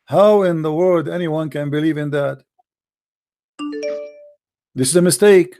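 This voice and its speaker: A man's voice: on the high side, measured pace, mellow, faint music behind. Insistent Arabic-accented man, lecturing. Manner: insistent, lecturing, repeats points. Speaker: host. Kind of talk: argumentative religious debate.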